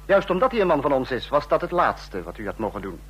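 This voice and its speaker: Husky, menacing male dictator. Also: mid-range voice, big pitch swings, quick pace, a bit bright, muffled recording. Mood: scornful anger. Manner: biting, sarcastic, domineering. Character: menacing male dictator, sarcastic, scolding his subordinates. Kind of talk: radio drama acting.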